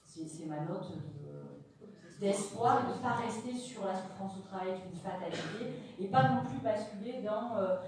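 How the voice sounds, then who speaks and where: Woman moderator asking slow halting questions, mic far off in a roomy echoey hall. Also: medium pitch, expressive, a crowd in the background. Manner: halting, many restarts. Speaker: round table moderator. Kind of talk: moderating a panel. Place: conference room.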